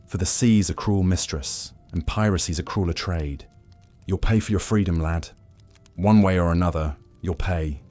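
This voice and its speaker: A man voicing a grizzled, world-weary old pirate with a rough, raspy voice, telling grim tales in sailor dialect for a story. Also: low voice, normal speed, a little mellow, dry recording, soft background music. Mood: somber warning.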